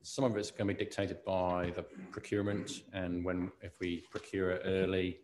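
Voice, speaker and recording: A man with a New Zealand accent, a council officer explaining plans at a meeting in a measured way. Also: sits low, flat delivery, darkish tone, slight background noise, poor audio. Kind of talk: answering questions in a meeting.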